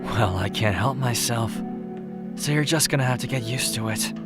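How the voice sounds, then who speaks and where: A man playing a reserved, quietly protective death god in an audio drama, speaking in an understated, gentle way. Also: medium pitch, normal speed, loud music behind the voice, natural voice. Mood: affectionate. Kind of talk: audio drama character acting.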